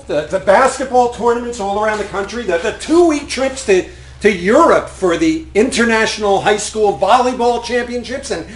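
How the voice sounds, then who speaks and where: A man acting a middle-aged husband who is secretly an assassin, in a live comedic stage play, with halting, sheepish delivery. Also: extremely high pitch. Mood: incredulous. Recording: echoey stage workshop, noisy room recording.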